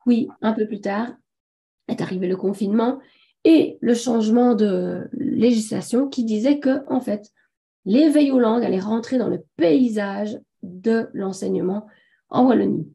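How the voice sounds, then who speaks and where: Hoarse woman hosting a webinar, mellow. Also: on the high side, a little slow. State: hoarse from a sore throat, coughing. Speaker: webinar host. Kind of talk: live webinar presentation. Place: online video conference.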